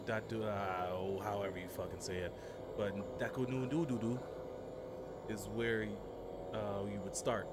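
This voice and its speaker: A man livestreaming a game, chatting casually in a laid-back, rambling way. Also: lowish voice. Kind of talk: casual livestream chat over gameplay. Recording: loud engine and game ambience noise. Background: loud spaceship game ambience.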